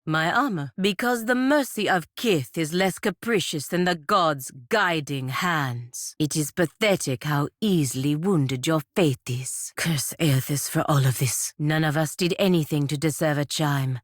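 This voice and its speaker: An actress playing a proud, duty-bound godlike knight in a video game, speaking formally and gravely. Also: female voice, deep voice, a little slow, hardly any pauses, no echo, clean studio sound. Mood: dry, disapproving.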